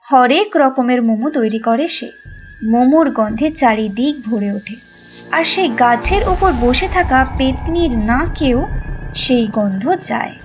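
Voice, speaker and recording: A woman narrating a cartoon story in a lively, sing-song way. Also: high voice, a little slow, faint music behind.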